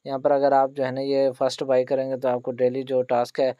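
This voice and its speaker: Fast-talking man narrating a tutorial, even pitch. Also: no echo, highs cut off. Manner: repetitive tag questions, instructional. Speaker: host of an online earning tutorial channel. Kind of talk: screen-recorded tutorial narration.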